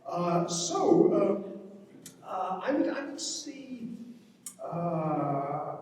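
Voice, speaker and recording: Halting male lecturer in a very echoey hall. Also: high-pitched, big pitch swings, darkish tone, slight background noise. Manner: halting, hesitant, frequently repeating words while thinking aloud. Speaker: panel speaker on literature. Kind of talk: informal literary lecture, speaking off the cuff. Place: large reverberant indoor event space. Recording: distant, very echoey hall with long reverb.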